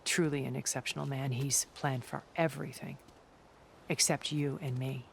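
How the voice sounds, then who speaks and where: Video game schemer: middle-aged, deep gravelly register, formal, persuasive, coolly wry, slight background noise. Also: female voice, steady tone, normal speed. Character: cool, calculating woman schemer, haughty and persuasive. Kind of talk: dramatic video game cutscene acting.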